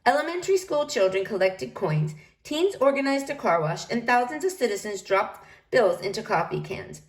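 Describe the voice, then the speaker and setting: A woman reading a children's book aloud, narrating in an expressive storytelling manner. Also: a little high, moderate pace. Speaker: storybook reader.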